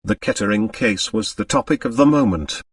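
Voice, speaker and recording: A man's voice: lowish voice, moderate pace, no room sound, clean studio sound, distant mic. A man reading a novel aloud as a scripted audiobook narration.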